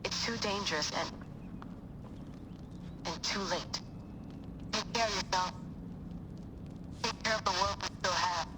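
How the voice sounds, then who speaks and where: A woman's voice: medium pitch, unhurried, long pauses, noisy background, close to an everyday voice. An actress playing a brave, resigned woman leaving a farewell message in a video game. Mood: resigned, tender. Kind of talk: video game cutscene acting.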